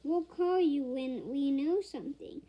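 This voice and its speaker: Woman as a high-pitched, baffled male expert. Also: unhurried, highs cut off. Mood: authoritative. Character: baffled male medical expert, official and evasive.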